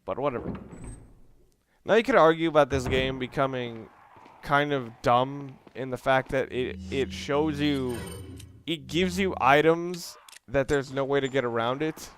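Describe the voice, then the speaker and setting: A man giving casual, excitable let's play commentary on a video game, with casual swearing. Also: medium pitch, a little slow. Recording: clearly noisy home recording.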